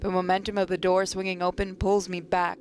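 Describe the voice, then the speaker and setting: A woman's voice: fairly low pitch, level tone, a little fast, darkish tone, a little hiss or hum. A woman hosting a gaming video, reading a visual novel aloud with asides and occasional stumbles. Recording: voice over game music.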